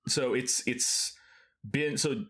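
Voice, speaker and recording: A man's voice: mid-range voice, takes it slowly, pushed and bright, breathy edge. A young man from Texas co-hosting a podcast, chatting casually with his brother, easygoing and full of fillers and restarts. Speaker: podcast co-host. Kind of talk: casual chat between brothers.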